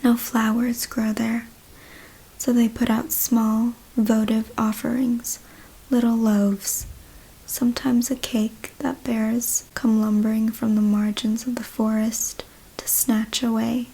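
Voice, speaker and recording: Asmr lady whispering a story real slow, breathy with creaky bits, hushed. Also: on the high side, level tone, low-quality recording. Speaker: ASMR reader.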